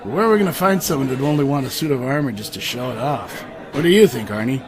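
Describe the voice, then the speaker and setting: A man's voice: medium pitch, lively intonation, quick pace, close to an everyday voice. A man playing a casual, coaxing fantasy adventurer in an audio drama, talking in an easygoing, persuasive way. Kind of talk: audio drama character acting. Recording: crowd chatter behind the voice.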